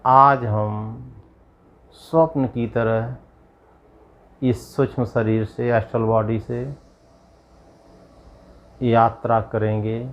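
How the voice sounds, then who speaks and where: A man guiding a yoga nidra meditation as a yogi, giving measured instructions in short, spaced phrases. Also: medium pitch, little pitch movement, muffled recording. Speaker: yogi leading guided meditation.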